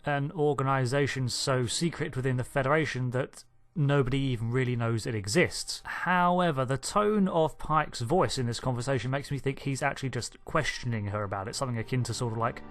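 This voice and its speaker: Nasal croaky man with a sore throat. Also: medium pitch, a little fast. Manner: chatty, thinks aloud with asides and self-corrections. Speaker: video host reviewing a trailer. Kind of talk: unscripted casual commentary.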